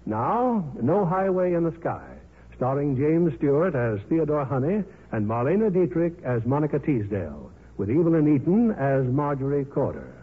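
Old-time radio announcer guy, formal and polished, lively pitch, tinny narrow recording. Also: moderate pace, husky. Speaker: host and narrator. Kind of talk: 1950s radio announcing, scripted narration.

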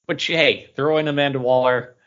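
A man's voice: mid-range voice, fairly even intonation, moderate pace, fairly bright tone. A man co-hosting a podcast in casual, informal chat. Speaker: comics podcast co-host. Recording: dull lo-fi audio.

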